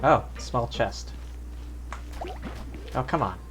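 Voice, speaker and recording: A man's voice: mid-range voice, animated, takes it slowly. A man giving casual live commentary as he plays a game, muttering and reacting to it. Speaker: game streamer. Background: video game music and sounds.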